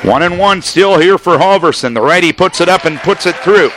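A man calling a basketball game on radio, giving a quick, detailed call of every pass along with the score and the clock. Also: high-pitched, fairly bright tone, a little hiss or hum. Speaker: play-by-play announcer.

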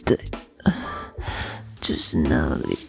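A man acts as a shy young man in a romantic audio drama. He speaks softly and slowly, in a hesitant, apologetic way with a Taiwanese Mandarin accent. Faint music runs behind him. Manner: hesitant, apologetic, soft-spoken. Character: shy, muddled, sweet young male dentist.

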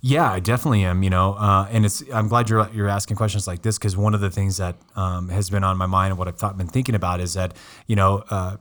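Male podcast host, very low and a bit rough from a cough, loose and jokey. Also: fairly even intonation, fast, hardly any pauses, fairly bright tone. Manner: jokey, rambling, self-mocking. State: voice deeper than usual after a cough. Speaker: podcast host, coach and CEO. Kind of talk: casual podcast chat.

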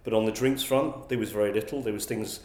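Man with a lowish voice, roomy sound. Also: steady tone, fast talker, darkish tone. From England. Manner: thoughtful, frequent restarts and repeated words. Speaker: guest, magazine publisher. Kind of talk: conversational interview answers.

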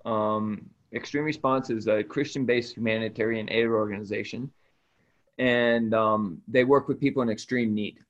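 A man's voice: lowish voice, steady tone, normal speed. Middle-aged man, slightly dull lo-fi sound. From Ecuador, raised by missionary parents. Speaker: interview guest, missionary aid worker. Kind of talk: conversational storytelling.

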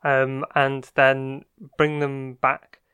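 A man co-hosting a podcast in a small homemade studio, chatting casually with a hesitant, halting delivery. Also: medium pitch, completely flat delivery, sharp tone, clear, resonant tone. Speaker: podcast co-host.

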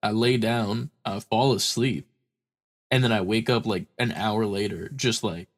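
A man's voice: fairly low pitch, a little slow. Stuffy, tired man on a podcast. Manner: tired, rambling, swears casually. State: stuffy nose from a cold. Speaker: podcast co-host. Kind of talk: casual podcast chat.

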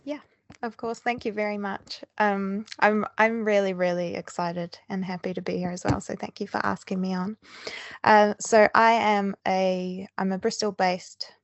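A woman's voice: mid-range voice, little pitch movement, normal speed, dry recording, dull audio. A woman with a New Zealand accent, a filmmaker speaking as a podcast guest, earnest and thinking aloud with many ums and restarts. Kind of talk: podcast interview.

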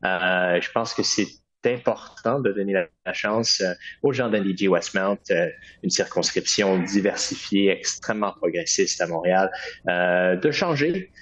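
A man's voice: low voice, measured pace, a bit bright. A man from Quebec with a Québécois French accent, answering interview questions as a political candidate in an earnest way, with many hesitations.

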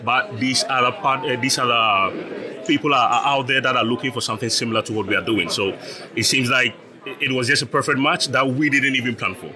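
A man's voice: medium pitch, fairly quick, a crowd in the background. A young man from Ghana, a startup founder, explaining his business as an interview guest, patient, with frequent laughs and repeated words.

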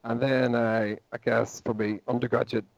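A man's voice: mid-range voice, little pitch movement, moderate pace, vocal fry, no room sound, highs cut off. A Northern Irish man in his forties, a professor answering interview questions in a thoughtful way, often restarting his sentences. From Northern Ireland. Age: 40s. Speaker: guest, psychology professor.

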